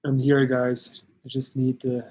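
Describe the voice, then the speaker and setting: A man from Belgium chatting casually on a livestream, answering viewer questions in a rambling, halting way with many restarts. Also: medium pitch, monotone, dark tone, highs cut off. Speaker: YouTuber answering live chat.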